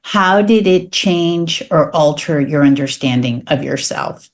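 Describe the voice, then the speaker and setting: A woman hosting a podcast, asking measured, probing questions about her guest's experience. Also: low voice, animated. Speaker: podcast host. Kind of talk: interview questions.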